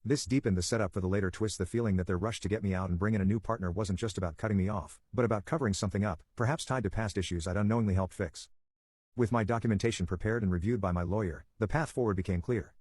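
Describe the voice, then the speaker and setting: Fast-talking male narrator with a husky, low voice. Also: darkish tone, dry recording, clean studio sound. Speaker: storyteller narrator. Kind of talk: scripted first-person story narration.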